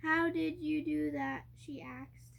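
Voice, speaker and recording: High-voiced young girl reading haltingly. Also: fairly even intonation, gravelly. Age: child. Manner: halting, sounding out words, stumbling over harder ones. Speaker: young reader co-hosting a family reading video. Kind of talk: reading a storybook aloud.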